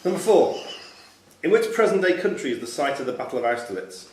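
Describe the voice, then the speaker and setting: A man's voice: on the high side, sing-song, fast talker. A man reading quiz questions aloud as a quizmaster in a club room. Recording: echoey club room with background noise.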